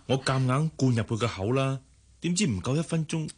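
A man's voice: medium pitch, expressive, fairly quick, breathy edge. A man with a Hong Kong Cantonese accent acting in a radio drama as a worried, exasperated father and boss who sighs as he talks. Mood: weary, exasperated. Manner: sighing, exasperated.

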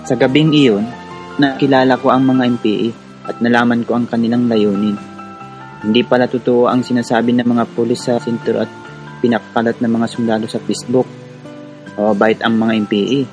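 A man's voice: mid-range voice, little pitch movement, a little fast, a little mellow, faint music behind, close to an everyday voice. A man with a Filipino accent playing an earnest, curious, polite young villager in a radio drama. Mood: reflective, earnest. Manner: respectful, polite. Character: earnest, curious, polite poor rural young man recounting his past. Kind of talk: radio drama acting and narration in Tagalog.

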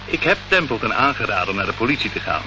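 Low-voiced, concerned male confidant. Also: very animated, fast talker. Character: concerned, inquisitive male associate of a private investigator. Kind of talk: Dutch radio play acting. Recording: muffled, noisy old radio recording.

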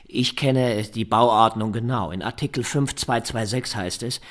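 An actor in a German radio drama playing a pedantic, insistent neighbour who needles and slyly presses for money. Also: male voice, fairly low pitch, a little slow, fairly bright tone. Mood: indignant, pedantic.

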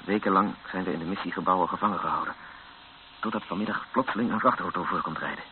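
A man's voice: deep voice, fast, lightly acted. An actor in a radio play as a distressed, bitter male captive. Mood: grim. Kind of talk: radio drama acting. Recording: tinny old radio recording, faint noise.

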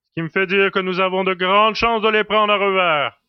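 A man's voice: high voice, fairly even intonation, fairly quick, clear, resonant tone, highs cut off, faint music behind, natural voice. A man playing a giant space colonel, decisive and commanding, in a science fiction audio drama. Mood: confident.

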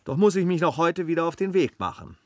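An actor playing a courteous, high-minded gentleman traveller, speaking politely and formally in a classic comedy radio play. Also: very animated, brisk, no echo. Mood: earnest.

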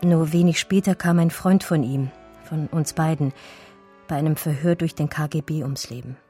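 An actress as low-voiced, wistful German woman remembering. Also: steady tone, normal speed, mellow, faint music behind, natural voice. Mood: sober recollection. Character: wistful German woman art historian remembering her lost Russian lover. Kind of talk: radio drama first-person narration.

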